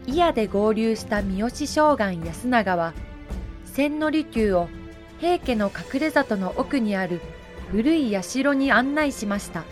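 Female narrator, slightly high voice, slow measured explanatory read, historical audio drama. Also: animated, faint music behind.

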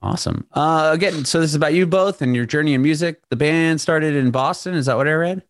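A man hosting a casual video-call interview, chatty and quick to laugh, with lots of filler words. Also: a little high, dry recording, clean studio sound.

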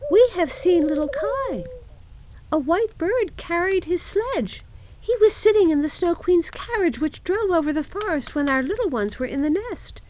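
Very high cooing wood pigeon. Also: female voice, expressive, normal speed, a little mellow. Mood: plaintive cooing. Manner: sing-song cooing. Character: cooing wood pigeons, small high-voiced gossiping birds.

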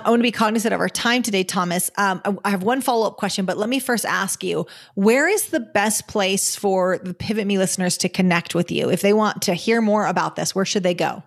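A woman's voice: on the low side, creaky. A woman hosting a podcast interview, responding enthusiastically and asking follow-up questions. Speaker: podcast host. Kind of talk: interview conversation.

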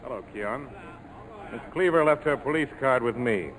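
An actor playing a tough, guarded ex-army major in a 1940s radio drama, clipped and curt, with an American accent. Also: male voice, medium pitch, moderate pace, close to an everyday voice. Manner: clipped, curt, hard-boiled. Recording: noisy 1940s radio broadcast.

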